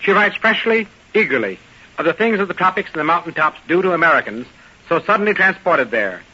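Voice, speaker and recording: Man, bright tense voice, formal literary delivery, scripted radio introduction, dull archival sound. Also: on the high side, sing-song, a little fast, breathy edge. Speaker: magazine editor, guest speaker. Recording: muffled old radio broadcast recording.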